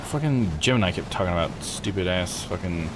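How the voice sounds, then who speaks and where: A man's voice: sits low, animated, a little fast, very noisy. A man live streaming a visual novel, rambling and thinking aloud in casual commentary. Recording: game music behind voice. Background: video game music.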